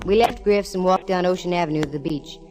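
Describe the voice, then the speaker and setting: Hard-boiled lady detective for vintage radio mystery, played by a woman: lowish pitch, cool, quick with a wisecrack. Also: a little mellow, faint music behind, natural voice, low-quality recording. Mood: hard-boiled narration. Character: cool, wisecracking female private eye. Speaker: lead actress in radio detective show. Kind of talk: 1940s radio acting, first-person narration. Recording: vintage 1940s radio recording.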